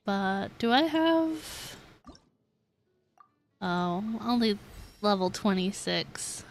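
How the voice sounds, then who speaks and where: A woman livestreams a game with soft music playing behind her. She talks at an unhurried pace with a middling pitch, chatting casually to viewers and thinking aloud in a giggly manner. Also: lively intonation. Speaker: game streamer. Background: background music.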